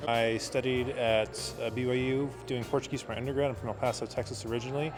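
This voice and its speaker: A man, an MBA student, giving energetic, down-to-earth interview answers. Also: medium pitch, fast talker, over music.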